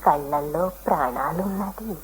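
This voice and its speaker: An actress playing a devout, tender elderly hermit woman in a radio drama, speaking with reverent, drawn-out emotion. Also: deep voice, natural voice. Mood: devout yearning. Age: older. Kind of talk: Indian radio drama acting. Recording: noisy old radio drama recording.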